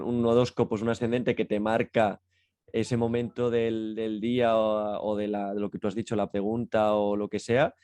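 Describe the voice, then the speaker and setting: Guy cohosting a podcast, lowish voice, explains stuff casually, rewords as he goes, very dry sound. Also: darkish tone, dry recording. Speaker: podcast co-host. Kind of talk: casual conversational podcast talk.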